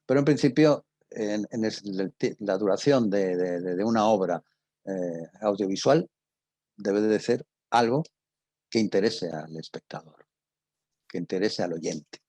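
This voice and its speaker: An older man with a husky voice and a Castilian Spanish accent, informally answering students as a radio drama teacher in a conversational, storytelling way. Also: on the low side, measured pace, mellow, no room sound.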